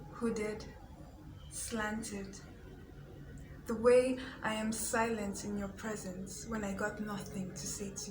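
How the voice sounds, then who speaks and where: A woman reading spoken-word poetry in a measured, deliberate way. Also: mid-range voice. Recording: echoey room, clear background noise.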